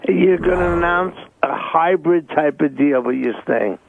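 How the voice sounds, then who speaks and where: A man's voice: a little high, unhurried, creaky, a little hiss or hum. A man calling in to a radio show, giving his opinion casually over a narrow phone line. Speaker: caller.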